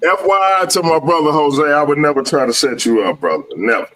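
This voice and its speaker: A man's voice: on the high side, fairly quick, fairly bright tone, slight background noise. Friendly male stream host. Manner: friendly, calls guests brother. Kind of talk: live stream hosting.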